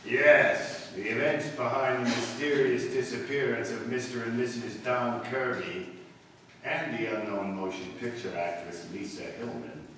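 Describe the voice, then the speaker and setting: A man playing the ominous narrator of a 1940s radio mystery, deliberate and dramatic in his storytelling. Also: medium pitch, a bit bright, natural voice. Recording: echoey hall, distant, faint noise.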